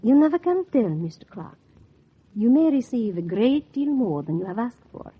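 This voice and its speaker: An actress playing a poised, suggestive femme fatale in a 1940s radio drama, speaking softly. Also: female voice, on the high side, very animated, a little hiss or hum. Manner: suggestive, measured. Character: sultry, poised, youngish French-Canadian femme fatale. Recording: dull vintage 1940s radio recording.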